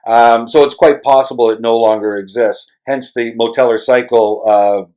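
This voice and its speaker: A man's voice: mid-range voice, steady tone, normal speed, dark tone. A man hosting a casual interview, talking in a rambling, hesitant way and building up to long questions. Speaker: podcast host interviewing a travel writer.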